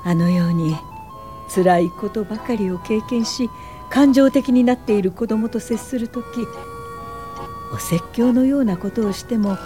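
A woman playing a composed, politely firm older welfare pioneer in a radio drama, with measured narration and dialogue. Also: on the high side, music in the background, natural voice. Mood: gently firm.

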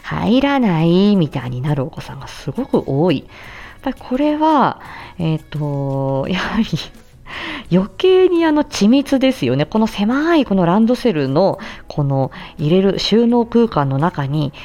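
A woman's voice: fairly low pitch, sing-song, measured pace, dark tone. A woman hosting a solo talk, explaining things casually and conversationally as a speech therapist, with emphasis and frequent appeals for agreement. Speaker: speech therapist, audio show host. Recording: slightly dull, muffled lo-fi recording.

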